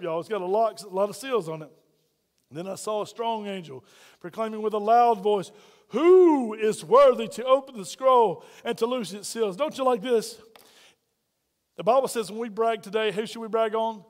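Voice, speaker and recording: A man preaching a sermon as pastor, loud and folksy, full of playful asides to the congregation. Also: sits high, moderate pace, in a large hall.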